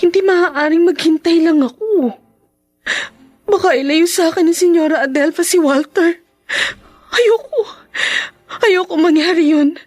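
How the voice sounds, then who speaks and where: Casting: desperate young heroine, radio drama; very high voice, dry sound, emotional and pleading. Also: female voice, a little slow, darkish tone, dry recording, soft background music. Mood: anxious, desperate. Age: young adult. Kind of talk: melodramatic radio drama acting.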